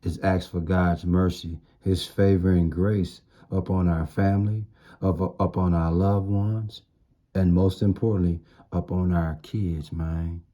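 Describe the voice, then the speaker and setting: A man's voice: sits low, fairly even intonation, unhurried, dark tone. A man giving an informal sermon in blunt, streetwise slang, repeating phrases for emphasis. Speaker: street-style preacher.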